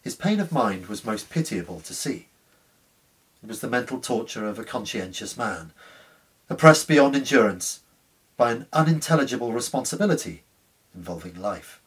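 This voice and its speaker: Measured man narrating, slightly distant. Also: medium pitch, very animated, breathy edge. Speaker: actor reading a short story. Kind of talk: dramatic literary narration. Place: small living room at home. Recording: slightly distant room microphone.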